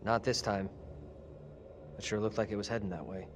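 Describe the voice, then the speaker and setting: An actor playing an uneasy young student in a horror game, talking in a casual, blunt, unsure way. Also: male voice, lowish voice, steady tone, moderate pace, noisy background, close to an everyday voice. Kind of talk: dramatic game cutscene acting. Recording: suspenseful music behind.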